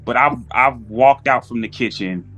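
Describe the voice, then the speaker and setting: A man's voice: mid-range voice, normal speed, a bit bright, highs cut off, soft background music. A man chatting casually as a podcast guest, telling anecdotes in slangy speech and acting out both sides of exchanges.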